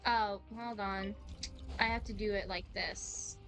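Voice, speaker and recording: A young woman chatting casually in a rambling, excitable, slangy way as she streams a game. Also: mid-range voice, takes it slowly. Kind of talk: casual live-stream chat while playing. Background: game music.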